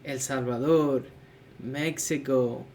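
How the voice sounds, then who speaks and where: A man's voice: medium pitch, slow, slight background noise. Casual man chatting on a podcast. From California. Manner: relaxed, searching for words, frequent fillers. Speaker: podcast co-host.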